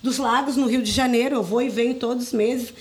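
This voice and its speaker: A woman's voice: a little high, steady tone, fairly quick, a little mellow. A woman from southern Brazil with a Rio Grande do Sul accent, speaking earnestly and conversationally as an interview guest. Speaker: interview guest, community group coordinator. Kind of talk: conversational radio interview talk.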